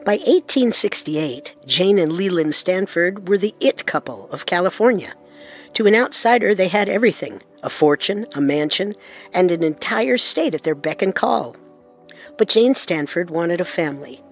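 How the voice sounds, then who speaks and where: Measured woman narrating a true story. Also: fairly low pitch, animated, soft background music. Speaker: narrator and co-host. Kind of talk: scripted documentary narration.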